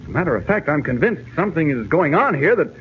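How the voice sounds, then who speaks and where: An actor playing an earnest, insistent reporter investigating a mystery, urgent and persuasive, in a 1940s radio serial. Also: male voice, a little high, sing-song, quick pace. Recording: scratchy, narrow-band 1940s radio recording.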